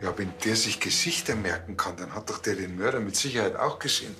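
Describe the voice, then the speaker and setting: A man's voice: fairly low pitch, fast talker, over music, natural voice. An actor playing a naive, animal-loving small-town policeman with a Bavarian accent, earnest and colloquial. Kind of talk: TV crime comedy acting.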